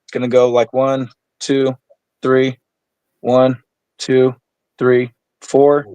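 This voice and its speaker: A man's voice: medium pitch, monotone, slow, a little mellow, clean tone, dry recording. Blunt, swearing man near forty, dry sound. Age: 30s. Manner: blunt, swears freely, stammers on restarts. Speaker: guest. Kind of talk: casual conversation.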